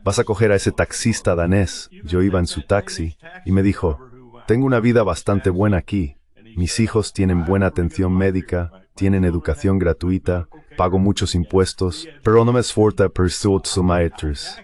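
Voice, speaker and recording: Man, low-pitched voice, blunt, emphatic, repetitive, political interview commentary. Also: fairly even intonation, normal speed, darkish tone.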